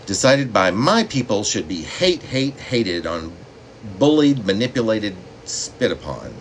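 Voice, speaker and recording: Male poet, very deep register, reads in measured dramatic phrases, faint room noise behind him. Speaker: poet reading own work. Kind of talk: poetry reading with spoken asides. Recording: steady room noise, air conditioning hum.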